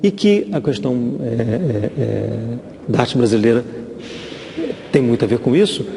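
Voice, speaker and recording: A man's voice: medium pitch, animated, a little slow, vocal fry, dark tone, slight background noise. A Brazilian man giving informal expert commentary, hesitating and repeating words as he explains, recorded with echoey reverb.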